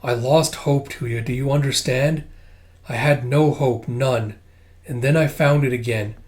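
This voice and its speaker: Wounded young heroine, performed by a man: bitter, vengeful, deliberate, intense, mid-pitched delivery. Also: fairly even intonation, darkish tone, natural voice. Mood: anguished.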